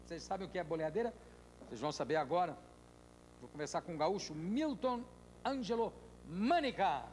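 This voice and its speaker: An older man hosting a late-night talk show in a large studio, asking quick questions and teasing his guest. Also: high-pitched, lively intonation, darkish tone. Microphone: desk microphone. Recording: studio hall reverb, faint noise.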